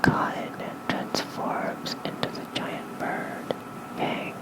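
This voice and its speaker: An ASMR host reading lore in a soft, breathy whisper into a close microphone, unhurried and halting, with informal asides. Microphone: close fluffy-covered ASMR microphone.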